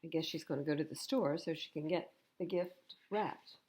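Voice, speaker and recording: A woman's voice: deep voice, moderate pace, darkish tone, slight background noise. A woman reading a storybook aloud to children as a storytime host, with chatty asides. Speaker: library storytime reader.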